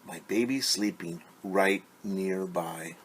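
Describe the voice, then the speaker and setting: A man voicing an anxious mother from a storybook, pleading in a sing-song, drawn-out way with hushed shushing for quiet around her baby. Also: deep voice, a little hiss or hum. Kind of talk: storybook read-aloud, rhythmic refrain.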